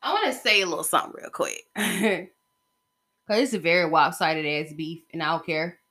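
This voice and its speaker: The sassy American woman you'd hear on a homemade solo podcast late at night, slangy and rambling, with a bright, forward voice. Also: fairly low pitch, normal speed. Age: 30s. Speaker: podcast host. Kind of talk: casual solo podcast chat.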